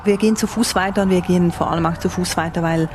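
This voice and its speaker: A woman correspondent with a Swiss accent speaks in a fairly low voice. She explains and describes vividly, reworking her phrases as she goes. A little ambient noise sits behind her. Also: steady tone, quick pace. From Switzerland. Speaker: radio foreign correspondent. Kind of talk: interview answers, descriptive reporting.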